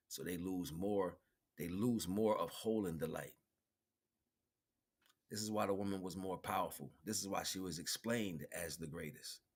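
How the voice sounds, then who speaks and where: Male spiritual teacher, fairly low register, emphatic and colloquial, unscripted lecture with repeated key phrases. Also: slightly rough. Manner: colloquial, checks in with rhetorical questions. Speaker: spiritual teacher, livestream host. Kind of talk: improvised spiritual lecture, streamed.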